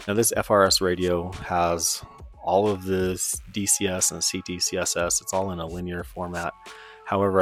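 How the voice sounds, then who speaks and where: A man's voice: low voice, fairly bright tone. A man giving a casual, informal product review in a conversational way. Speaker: presenter of radio gear review.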